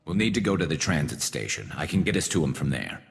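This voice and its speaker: A man playing a grim, vengeful alien ex-cop turned vigilante in a video game, with a gravelly voice and terse, menacing delivery. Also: extremely low pitch, very animated, quick pace, exaggerated voice. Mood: determined. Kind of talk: video game character acting.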